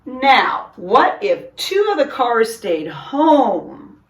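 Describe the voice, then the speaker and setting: A woman's voice: a little high, big pitch swings, extremely slow, voice pushed up, exaggerated voice. Woman voicing an upbeat young cat schoolboy, echoey. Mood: encouraging. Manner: upbeat, encouraging. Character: cheerful, helpful young boy cat pupil. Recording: roomy echo, distant microphone.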